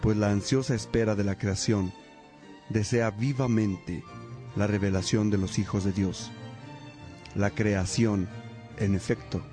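A man playing a devout monk in a radio drama, praying and reading scripture in a solemn, measured way. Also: on the low side, steady tone, warm tone, soft background music, natural voice. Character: devout monk, friendly brother in community.

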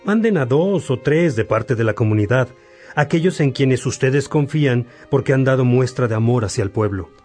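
A man acting as a kindly, wise bishop and judge in a radio drama, speaking in a measured, paternal, pastoral way. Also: mid-range voice, lively intonation, a little mellow, close to an everyday voice. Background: music.

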